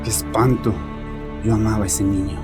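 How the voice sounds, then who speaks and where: Man acting a bitter, low-voiced troubled father. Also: fairly even intonation, normal speed, lightly acted. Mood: haunted. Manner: agitated, defensive, confessional. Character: bitter, harsh, troubled father confessing to a therapist. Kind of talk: dramatized horror audio story monologue. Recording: voice over background music.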